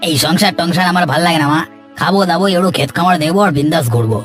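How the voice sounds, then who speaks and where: A man's voice: on the high side, very fast, a bit bright, faint music behind, close to an everyday voice. A man voicing an idle, carefree, argumentative village son with a grumbling, dismissive manner in a cartoon. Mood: grumbling, defiant. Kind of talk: Bengali cartoon dialogue.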